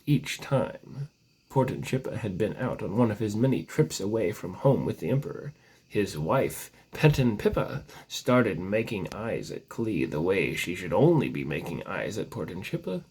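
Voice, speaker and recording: A man with a husky voice reads a storybook legend aloud as narrator, stretching words with theatrical emphasis. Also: lowish voice, very animated, moderate pace, a little mellow, low-quality recording.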